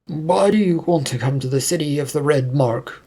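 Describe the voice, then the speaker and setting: A man playing a stern, unwelcoming gate guard in a fantasy story, formal and curt, with a rough voice. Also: a little high, moderate pace, mellow. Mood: challenging.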